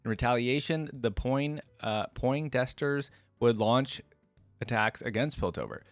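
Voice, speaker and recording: A man's voice: mid-range voice, measured pace, dry recording, soft background music. A man hosting a casual reaction video, chatting informally to viewers and reading aloud as he goes. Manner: informal, addresses viewers directly as "guys". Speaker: video host, gaming fan reactor.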